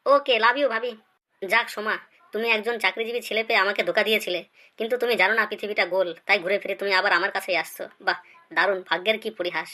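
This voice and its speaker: Eager cartoon schemer played by a woman, breakneck pace, bright forceful tone. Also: medium pitch, fast, natural voice. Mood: gleeful, scheming. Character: eager, fast-talking cartoon comedy schemer. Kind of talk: cartoon comedy dialogue.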